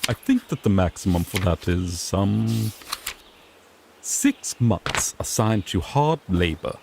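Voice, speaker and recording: A man's voice: on the low side, expressive, slow pace, creaky, background noise, exaggerated voice. A man playing a sassy griffon knight in a fantasy audio drama, an earnest rookie lawman who explains things formally with mild exasperation. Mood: officious, patient.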